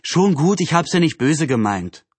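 A man's voice: a little high, big pitch swings, moderate pace, breathy edge, dry recording, voice pushed up. A man voicing a wry, teasing but easily conciliatory boy in a children's storybook.

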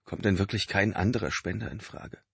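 A man voicing a shaken adult man who speaks in a hushed, stunned way. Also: on the low side, fairly even intonation, extremely slow, vocal fry, breathy edge, no room sound, voice pushed up, full character voice.

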